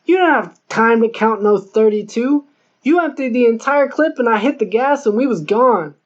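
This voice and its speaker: A man's voice: extremely high pitch, lively intonation, normal speed. A man voicing a scornful, nitpicking gangster with contradicting, slangy street talk in an audiobook. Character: scornful, nitpicking male gangster getaway driver. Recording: dull lo-fi recording.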